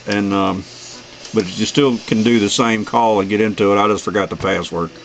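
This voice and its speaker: A man's voice: lowish voice, fairly even intonation, moderate pace. A middle-aged or older man from the United States chatting casually and in a friendly, folksy way as an amateur radio operator. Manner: friendly sign-offs, folksy. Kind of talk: casual radio contact chat. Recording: noisy radio shack recording.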